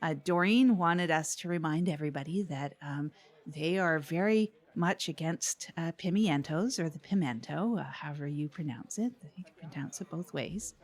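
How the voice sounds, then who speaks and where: A woman's voice: low voice, normal speed. A woman playing a nervous, upbeat small-town community radio host in an audio comedy, with a hesitant, rambling, giggly manner. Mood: chatty, hesitant. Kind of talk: improvised-sounding comedy community radio chat.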